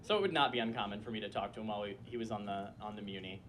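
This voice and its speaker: A man's voice: fairly low pitch, very fast, fairly bright tone, a little hiss or hum. A young man telling a comic personal story on a club stage, chatty and full of filler words, with a voice he says sounds like a disappointed mom. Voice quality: voice like a disappointed mom, per a friend. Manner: chatty, lots of filler likes, frequent laughter. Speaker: storyteller performer. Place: small club stage before a live audience.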